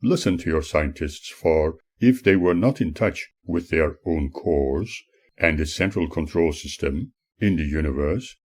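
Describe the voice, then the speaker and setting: Deep, husky man reading slowly and solemnly. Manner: preachy, earnest, measured phrasing. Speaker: author reading own book. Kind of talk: audiobook narration, solemn spiritual teaching.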